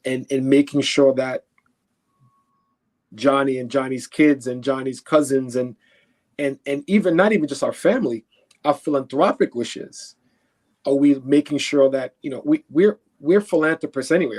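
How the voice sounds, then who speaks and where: A man's voice: medium pitch, darkish tone. Conversational man from Haiti, emphatic explainer. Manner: explains through imagined first-person quotes; emphatic, often checking with "right?". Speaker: financial expert guest. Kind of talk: conversational interview answers.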